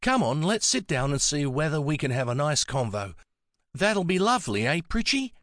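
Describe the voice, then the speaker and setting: A man voicing a kindly, affectionate elderly woman with a soft Antipodean accent and a fond, coaxing manner. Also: fairly high pitch, very animated, moderate pace, dry recording, studio quality, voice pushed up. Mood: fond, chatty.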